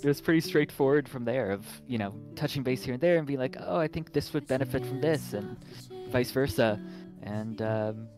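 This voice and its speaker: A man's voice: mid-range voice, moderate pace. A man talking casually as a game developer in an online interview, often chuckling as he goes. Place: online voice call. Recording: music playing under voice. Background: plucked guitar music.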